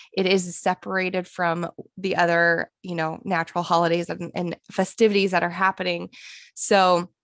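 A woman's voice: on the low side, a bit bright, no echo, clean studio sound. A woman in her thirties hosting a fitness podcast, talking casually and a bit nasally from a cold, rambling with fillers. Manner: chatty, rambling with fillers and restarts. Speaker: podcast host, fitness coach.

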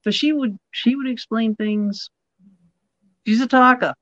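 A woman from Massachusetts with a Massachusetts accent and a rough voice, hosting a casual chat and talking bluntly. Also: on the high side, a bit bright, dry recording, highs cut off. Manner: blunt, quick and laughing. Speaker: podcast host.

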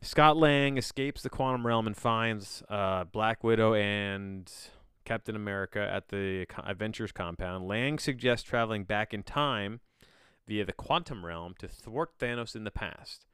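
Male podcast host, lowish smooth voice, close dry sound, off-the-cuff and sardonic read-and-react delivery. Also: a little slow, fairly bright tone, no echo. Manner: rambling, incredulous, sardonic asides; casual swearing. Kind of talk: casual solo commentary while reading a plot synopsis aloud.

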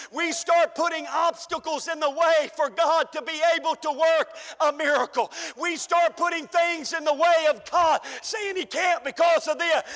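Male pastor, fervent shouting preacher, strained voice, bright edge, echoing church hall. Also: very high-pitched. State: losing his voice. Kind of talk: sermon.

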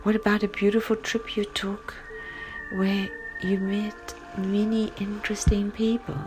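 A woman narrating a guided sleep story in a gentle, soft and breathy voice, often close to a whisper, with long pauses. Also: medium pitch, little pitch movement, low-quality recording. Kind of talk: soothing bedtime story, guided relaxation. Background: music.

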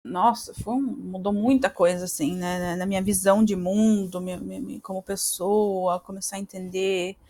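A woman's voice: medium pitch, fairly even intonation, normal speed, hardly any pauses, mellow. A Brazilian woman in her thirties, a podcast guest talking casually, with many fillers and restarts. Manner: chatty, frequent fillers and restarts. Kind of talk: casual interview conversation.

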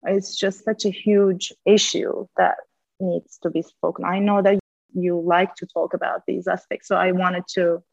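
A woman's voice: fairly low pitch, flat delivery, unhurried, vocal fry, no room sound, highs cut off. A woman, a linguist and podcast guest, speaking earnestly in a candid conversation and repeating words for emphasis. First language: Turkish.

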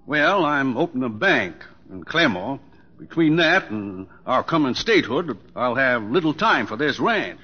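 A man's voice: medium pitch, big pitch swings, moderate pace, breathy edge. An actor playing a proud, exasperated middle-aged rancher father in an old radio drama, brusque and lecturing. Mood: brusque, commanding. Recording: dull old radio recording.

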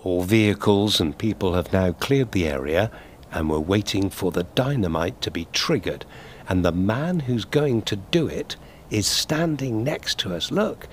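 A man's voice: fairly low pitch, animated, a little slow, slight background noise. A man hosting a scripted on-location audio report, speaking theatrically and straight to the listener. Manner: theatrical, addresses the listener directly. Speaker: podcast host and narrator.

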